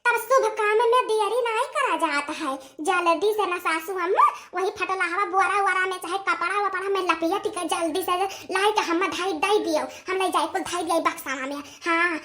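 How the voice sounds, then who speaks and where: A woman's voice: very high-pitched, pushed and bright. A woman voicing a gossipy, scheming young village daughter-in-law in a cartoon, with an Awadhi accent and an emphatic, repetitive way of talking.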